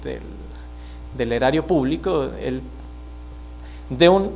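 A man in his thirties from Venezuela, with a Venezuelan accent, speaking as a criminologist guest in a studio interview, measured and explanatory with frequent hesitations. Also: mid-range voice, lively intonation, darkish tone, slight background noise, poor audio. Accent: Venezuelan Spanish. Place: small TV studio. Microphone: mic on desk stand.

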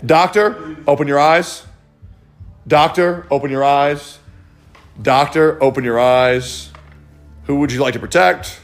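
A man's voice: a little high, fairly even intonation, takes it slowly, long pauses. A man acting as narrator of a mafia party game, calling out roles with a playful, laughing manner. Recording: livestream with music behind.

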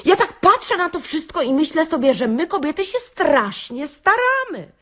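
A woman's voice: very high voice, sing-song, moderate pace, sharp tone, full character voice. A woman playing a brash, sarcastic beautician in a satirical radio-play monologue, theatrical and full of rhetorical questions to her listeners. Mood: exasperated. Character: brash, sarcastic beautician delivering a comic self-help rant. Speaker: actress reading a comic monologue.

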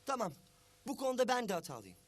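Really high voiced cartoon grown-up, strict but caring, kinda lecturing. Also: male voice, huge pitch swings, fast talker, full character voice. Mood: firm, concerned. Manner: admonishing, emphatic. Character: stern but caring adult guardian, scolding children. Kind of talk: cartoon voice acting.

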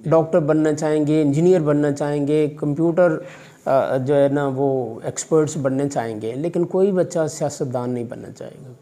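A middle-aged Pakistani college vice principal with a Pakistani Urdu accent, answering interview questions in a measured, fatherly way with religious phrasing and hesitant fillers, recorded in an office by a distant camera. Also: fairly high pitch, warm tone. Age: 50s. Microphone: far camera.

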